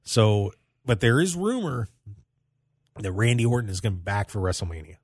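An American man hosting a sports talk radio show, telling stories in an animated way with emphatic repetition. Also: lowish voice, normal speed, husky, no room sound, clean studio sound.

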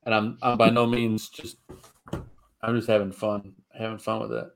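A man's voice: lowish voice, little pitch movement, moderate pace, creaky, slight background noise, low-quality recording. A man hosting a sports podcast, joking around as he runs the segments, sniffling. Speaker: podcast host. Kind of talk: casual sports chat.

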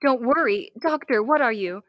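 A high-pitched young heroine acted by a woman in classic radio drama style. The voice is close and dry, with an earnest, questioning delivery. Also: animated, moderate pace, a bit bright, no echo. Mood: alarmed. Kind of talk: old-time radio drama acting.

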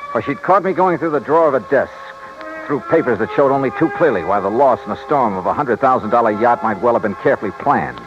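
An actor playing a hard-boiled, sardonic American insurance investigator in a 1950s radio detective drama, with a clipped, pressing delivery. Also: male voice, medium pitch, animated, fast, music in the background, close to an everyday voice. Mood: tense narrating. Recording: muffled, noisy old radio recording.

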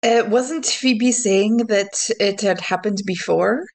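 A woman playing a tabletop role-playing game, talking in character in a measured way, pausing to pick her words. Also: medium pitch. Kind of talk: casual in-character game talk.

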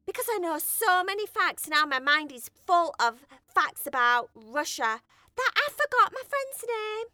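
A woman voicing a scatterbrained, boastful young female cat in a bedtime story, rambling and excitable. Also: extremely high pitch, a little slow, no room sound, clean studio sound, heavily acted. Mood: excited, chattering. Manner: rambling, excitable, self-admiring.

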